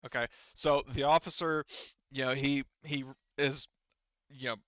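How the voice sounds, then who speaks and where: Bright, clear-voiced male game master. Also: mid-range voice, fairly even intonation, dry recording, dull audio. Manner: halting, thinking aloud. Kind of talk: casual improvised tabletop game narration.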